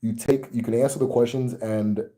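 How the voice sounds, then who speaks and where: A man with an American accent, hosting a casual talk to his viewers in a relaxed, rambling way with many fillers. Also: medium pitch, moderate pace, low-quality recording. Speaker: host. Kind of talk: casual video chat to viewers.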